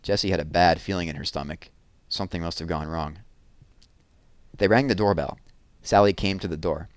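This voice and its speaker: A man's voice: low-pitched, normal speed, no room sound. A man reading a children's book aloud as a storyteller.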